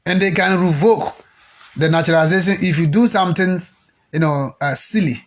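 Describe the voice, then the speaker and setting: A man's voice: sits high, fairly quick. A middle-aged man with a Ghanaian accent giving opinionated political commentary, rambling with many fillers and repeated words. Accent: Ghanaian English.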